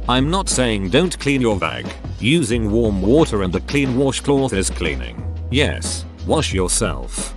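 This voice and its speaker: A man narrating scripted readings of online forum posts in a measured, word-by-word manner. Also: lowish voice.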